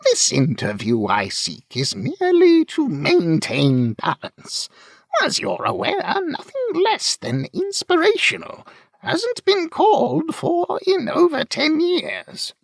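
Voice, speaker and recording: Really high birdlike bard, fancy formal flattery, voice swings around, fantasy audiobook, dry sound. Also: male voice, slow, vocal fry, no echo, exaggerated voice. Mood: courtly, flattering. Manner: florid, formal, flattering courtly speech. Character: avian bard, male, courtly flattering smooth-talker. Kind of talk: fantasy audiobook character acting.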